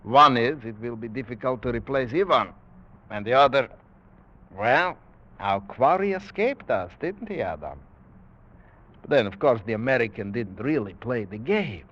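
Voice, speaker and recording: An actor playing a genteel, cruel, gloating aristocratic general with suave, theatrical delivery in a 1940s radio drama. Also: male voice, medium pitch, animated, natural voice. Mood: smug, musing. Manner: suave, theatrical, deliberate phrasing. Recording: dull, faintly hissy 1940s radio recording.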